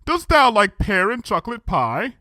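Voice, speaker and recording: A man's voice: sits high, huge pitch swings, normal speed, sharp tone, no room sound, studio quality, voice pushed up, heavily acted. A man voicing a haughty pony princess in a storybook reading, declaiming grandly in archaic royal speech. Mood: regal, insistent. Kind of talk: storybook fan fiction character reading.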